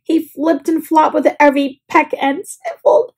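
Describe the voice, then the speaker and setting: A woman reading a children's picture book aloud in an expressive, scripted storytelling style. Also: high-pitched, unhurried. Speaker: storybook reader.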